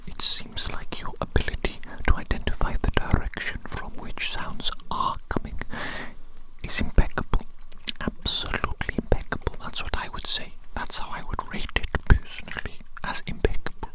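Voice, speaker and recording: A woman whispers with no voice in it, only breath. She plays an eccentric, doting doctor in an ASMR medical roleplay, speaking slowly and deliberately and repeating her phrases. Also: rough recording. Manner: slow, deliberate, repetitive, doting. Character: eccentric, doting evil doctor giving tests.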